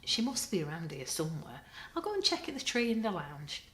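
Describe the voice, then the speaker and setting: A woman's voice: lowish voice, big pitch swings, a little fast, a bit bright, a little hiss or hum. A woman reading a firm, patient mum. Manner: firm, motherly. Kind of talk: storybook read-aloud. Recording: roomy echo.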